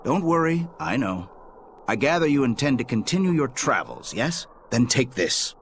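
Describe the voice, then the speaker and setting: An actor playing a kindly elderly village grandfather in a game, speaking gently and reassuringly. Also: mid-range voice, sing-song, moderate pace, slight background noise. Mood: gentle.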